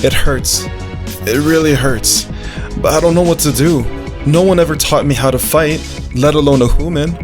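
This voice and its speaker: A performer voicing an earnest, childlike, food-loving male dog who narrates a visual novel in an animated, naive way. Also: medium pitch, moderate pace, music in the background, lightly acted. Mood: pained, scared. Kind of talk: first-person visual novel narration with character dialogue.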